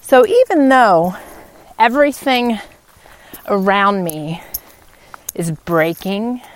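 A woman hosting a podcast in a reflective, unscripted monologue, pausing mid-sentence as she thinks, recorded outdoors on a walk. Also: medium pitch. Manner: halting, pauses mid-sentence while thinking. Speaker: podcast host.

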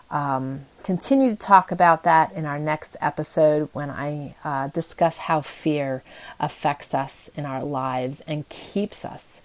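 Female podcast host with a low register; earnest, encouraging, informal motivational delivery. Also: a little mellow. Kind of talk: casual motivational podcast talk.

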